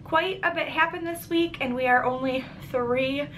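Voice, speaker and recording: A woman's voice: high-pitched, moderate pace, a bit bright, slight background noise, rough recording. A young pregnant woman giving a casual vlog update, congested and a little short of breath, chatty with frequent ums. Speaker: pregnancy vlogger.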